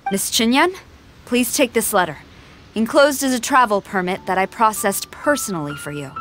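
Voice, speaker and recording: An actress playing a formal, dutiful female samurai general in a video game, measured and authoritative. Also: mid-range voice, a bit bright, close to an everyday voice. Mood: formal grateful.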